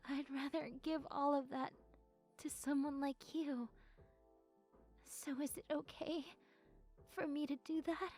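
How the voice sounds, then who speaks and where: A woman playing a shy, sweet young goth best friend in an intimate audio roleplay, soft-spoken and breathy, with hesitant, stammering speech. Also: high-pitched, flat delivery, measured pace, long pauses, faint music behind. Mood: tender, relieved.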